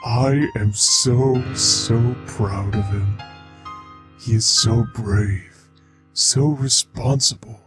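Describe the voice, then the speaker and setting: A man playing a proud, sorrowful skeleton scientist and father, speaking in a measured, wistful way in a dramatic comic dub. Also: mid-range voice, music in the background. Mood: proud, fond.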